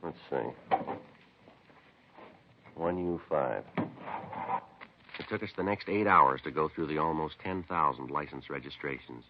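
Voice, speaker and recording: An actor playing a matter-of-fact police detective in a 1950s radio drama, clipped, deadpan and businesslike. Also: male voice, very deep voice, measured pace, pauses often, background noise. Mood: deadpan narrating. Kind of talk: terse police procedural narration and dialogue, 1950s radio. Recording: dull vintage 1950s radio recording.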